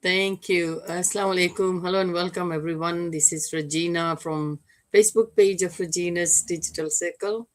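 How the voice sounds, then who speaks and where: A woman's voice: lowish voice, fairly even intonation, moderate pace. An older woman with a Fijian accent, casually introducing herself as co-host of a livestream. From Fiji.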